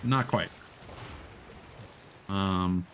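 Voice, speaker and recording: A man's voice: low-pitched, drawn-out words, noisy background. A man streaming a game, chatting casually and thinking aloud in hesitant, unfinished fragments. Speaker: live streamer. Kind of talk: casual gameplay chat.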